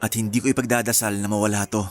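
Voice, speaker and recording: A man's voice: on the low side, flat delivery, racing, natural voice. An actor playing a teasing, smitten man in his thirties in a Filipino radio romance drama. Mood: flirtatious. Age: 30s. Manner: playful, flirtatious teasing. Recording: music bed under the dialogue.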